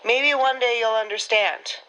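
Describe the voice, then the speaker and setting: A woman's voice: a little high, measured pace, lo-fi sound, noisy background, lightly acted. A woman playing a menacing, taunting female wartime double-crosser in a radio drama. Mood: cold, menacing.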